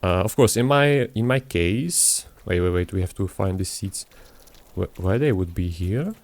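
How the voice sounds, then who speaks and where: A young man talks over his gameplay in English that is not his first language, in a somewhat low voice. He wanders between topics, stumbles, and restarts his sentences often. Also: expressive, a little slow, no echo. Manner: rambling, hesitant, many restarts and fillers. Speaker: gaming YouTuber. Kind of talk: casual gameplay commentary.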